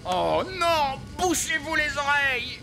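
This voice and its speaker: A man's voice: very high voice, sing-song, slow, full character voice. A man playing a proud, grumbling wise old elf in a cartoon dub, pedantic and easily indignant. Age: older. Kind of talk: animated cartoon dub acting. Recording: clear noise behind voice.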